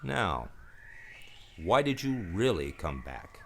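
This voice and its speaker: A man's voice: fairly low pitch, big pitch swings, slow pace, vocal fry, slight background noise, exaggerated voice. A man playing a wry, world-weary private detective in a noir radio play, terse and sardonic. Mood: wry, probing. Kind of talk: radio noir acting with first-person narration. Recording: radio play over guitar music.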